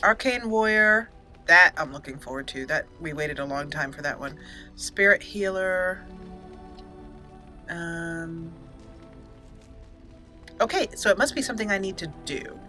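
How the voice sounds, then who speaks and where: A woman's voice: fairly low pitch, unhurried, long pauses, pushed and bright. A woman giving casual let's play commentary, chatting and thinking aloud as she plays. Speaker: gaming channel host and player. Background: game music.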